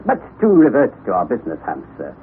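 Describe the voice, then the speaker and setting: An actor playing an eager, excitable old professor who stammers and gets flustered, in a 1960s radio drama. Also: male voice, mid-range voice, fast talker. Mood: brisk. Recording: phone-like narrow 1960s radio broadcast with faint hiss.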